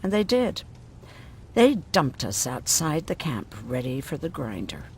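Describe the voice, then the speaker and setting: A blunt, world-weary woman medic in a game drama. Her mid-pitched voice swings widely as she talks, sardonic and gruff, over a faint rumble. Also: sing-song, unhurried, heavily acted. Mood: grim recounting. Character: gruff, sardonic woman medic who survived a prison camp. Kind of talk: video game dramatic acting.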